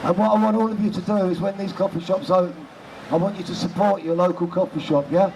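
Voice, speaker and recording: A man's voice: sits high, steady tone, fast. A man giving an informal, rousing activist speech at an outdoor rally, in short punchy phrases aimed at the audience. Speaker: activist speaker. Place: outdoors in a city square. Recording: outdoor rally with very loud crowd, traffic. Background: loud crowd and street noise.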